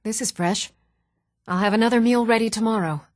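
An actress playing an even-toned fantasy townswoman in scripted video game dialogue, with a measured, composed delivery. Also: on the high side, no echo, clean studio sound, natural voice. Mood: friendly, matter-of-fact.

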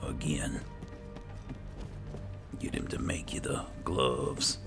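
A man's voice: slow pace, music in the background. A man giving casual roleplay commentary over a video game, musing and thinking aloud. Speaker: gaming video host.

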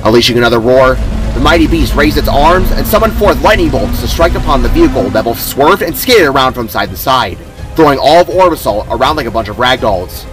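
A man's voice: mid-range voice, expressive, fairly quick, music in the background, poor audio. A man reading scripted story narration in a dramatic, emphatic manner.

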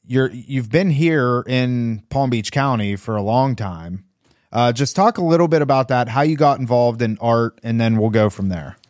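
A man's voice: mid-range voice, expressive, moderate pace, darkish tone, no room sound, clean studio sound. Man hosting a casual podcast. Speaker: podcast host. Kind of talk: casual interview chat. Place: small indoor podcast studio. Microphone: close studio microphone.

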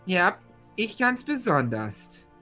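A man's voice: high voice, very animated, slow pace, sharp tone. A man talking casually as a player in an online role-playing session. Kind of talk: casual game chat. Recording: online voice call, background music.

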